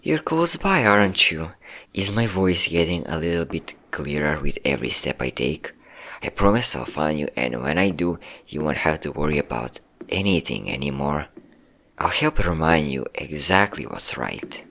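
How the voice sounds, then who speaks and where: A low-voiced, obsessive ex-boyfriend, acted out by a man in an audio roleplay. He is manipulative and possessive, coaxing his lover with pet names while an undercurrent of menace runs through his words. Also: sing-song, moderate pace, vocal fry, fairly bright tone, heavily acted. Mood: menacing, hunting. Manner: coaxing, menacing, calling listener pet names, nervous chuckles. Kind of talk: scripted ASMR-style audio roleplay.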